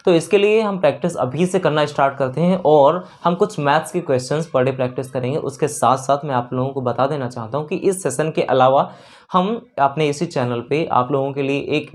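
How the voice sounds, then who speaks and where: A man teaching an exam-prep lesson step by step, repeating phrases and mixing in English terms. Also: medium pitch. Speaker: maths teacher.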